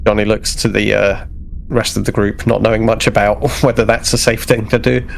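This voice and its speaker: A man playing a tabletop roleplaying game, narrating and speaking in character in a hesitant, creaky voice. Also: fairly low pitch, flat delivery, a little hiss or hum. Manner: hesitant, halting.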